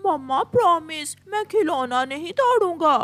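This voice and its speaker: Cartoon crow kid, played by a woman: small stubborn boy, whiny, high and swooping, wheedles for everything. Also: lively intonation, moderate pace. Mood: pleading, eager. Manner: childlike, wheedling. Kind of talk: Hindi children's cartoon voice acting.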